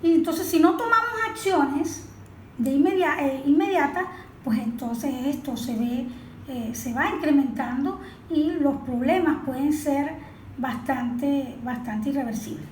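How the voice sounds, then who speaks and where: A woman with a high voice gives didactic interview explanations. She sets up questions and answers them herself. The room echoes and carries a faint noise. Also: unhurried. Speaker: environmental expert being interviewed.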